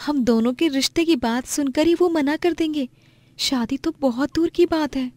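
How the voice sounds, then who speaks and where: A woman's voice: high-pitched, normal speed, warm tone, no echo, voice pushed up, lightly acted. A woman voicing a meek, poor young village woman in love, timid and deferential, in a moral-story cartoon. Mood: sad, resigned.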